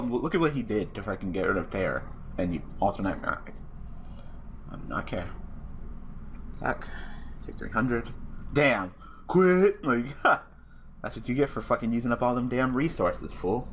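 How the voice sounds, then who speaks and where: A young man with a hoarse, worn-out voice commentating a card game online, swearing and ranting casually. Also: fairly low pitch, a little hiss or hum. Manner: profane, rambling, excitable rants. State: hoarse, losing his voice. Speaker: gaming channel host.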